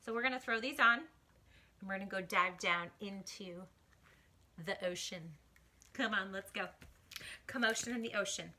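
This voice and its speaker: A woman hosting children's storytime, reading a rhyming picture book aloud in an animated, expressive way. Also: medium pitch, slight background noise. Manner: animated, exclaiming, dramatic hushes.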